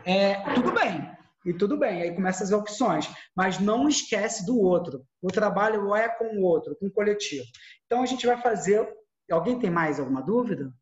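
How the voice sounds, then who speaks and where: A man's voice: sits high, lively intonation, normal speed, slight background noise. A man teaches an acting class. He talks through examples and often restarts his sentences. His voice comes through a dull, echoey room. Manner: explains with examples, many restarts.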